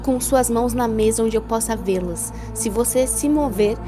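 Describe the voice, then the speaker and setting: A woman's voice: a little high, moderate pace, lightly acted. A woman playing a vengeful netrunner who speaks threateningly through a computer interface in a cyberpunk audio drama. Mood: cold menace. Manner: threatening, cold command. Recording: music behind the voice.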